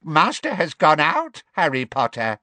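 A man's voice: fairly high pitch, huge pitch swings, measured pace, bright, forceful tone, no echo, pitched above the performer's own voice, exaggerated voice. A man voicing a gleeful, spiteful old house elf servant with a wheezy voice in a storybook reading. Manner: refers to himself in third person, gloating.

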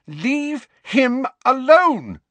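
A fiery teenage schoolgirl from an audiobook, acted by a man. Her voice sits high and swings widely with indignation. She speaks in cutting, emphatic phrases, scornful of bullies. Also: huge pitch swings, slow pace, slightly rough, no echo, heavily acted. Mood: commanding anger.

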